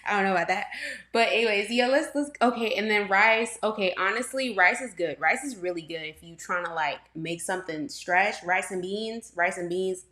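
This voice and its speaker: A woman's voice: medium pitch, normal speed, bright, forceful tone. A young woman from Trinidad and Tobago chats her way through a reaction video, circling back with fillers and restarts. Her voice sits mid-range for a woman. The room around her adds a noticeable echo. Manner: chatty, rambling, many fillers and restarts, frequent laughs. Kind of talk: casual reaction commentary. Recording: roomy echo.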